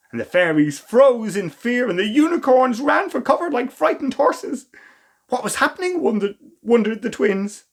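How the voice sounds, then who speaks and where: A man reading a children's story as a storytime host, with expressive, theatrical emphasis and asides to the listeners. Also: high-pitched. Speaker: storytime reader.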